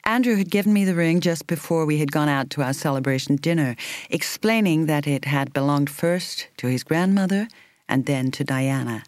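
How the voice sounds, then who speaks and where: A woman reading an audiobook in the role of a reflective woman in her thirties recalling her romance, in a measured, intimate, expressive way. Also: deep voice, dry recording, lightly acted. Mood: fond, reflective. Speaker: audiobook reader. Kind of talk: scripted first-person narration.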